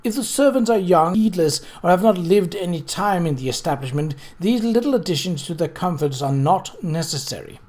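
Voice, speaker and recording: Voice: mid-range voice, animated, normal speed, few pauses, a little mellow, close to an everyday voice. A narrator voicing a stern, prim woman author of household rules in a formal, bookish manner. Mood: strict, prim.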